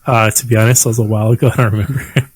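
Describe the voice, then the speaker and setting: Rambling young man, mid-pitched voice. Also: fairly even intonation, very fast, slightly rough, no room sound. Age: young adult. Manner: rambling, heavy on "like" fillers, swears casually. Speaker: podcast co-host. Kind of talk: casual chat. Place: at home, remote call.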